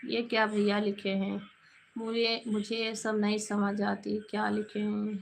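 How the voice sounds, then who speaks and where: A hoarse woman, hosting a casual live stream, reading out viewers' names and greeting them. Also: mid-range voice, monotone, measured pace, slight background noise.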